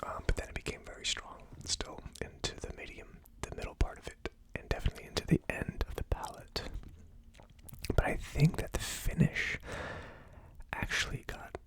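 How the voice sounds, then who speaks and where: A host giving a whispered ASMR tasting commentary in a breathy, unvoiced whisper, musing aloud in a slow, tentative way. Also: a bit bright, rough recording. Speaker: whiskey tasting video host.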